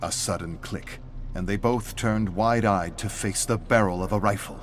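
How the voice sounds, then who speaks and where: A man's voice: lowish voice, moderate pace. A man reading scripted story narration in a dramatic style. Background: music.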